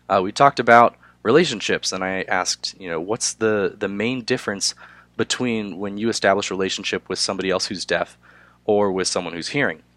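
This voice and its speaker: A young man, hoarse from a cold, giving a class presentation with frequent "uh" fillers. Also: low-pitched, fairly bright tone, dry recording. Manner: halting, many filler "uh"s. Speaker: student presenter.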